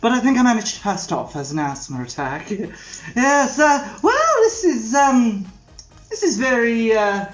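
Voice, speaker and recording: A performer playing a grand, snobbish former soap actress who gushes "darling" and arrives out of breath. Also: female voice, fairly high pitch, big pitch swings, unhurried, soft background music. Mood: haughty. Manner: breathless, gushing, uses darling. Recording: muffled lo-fi audio.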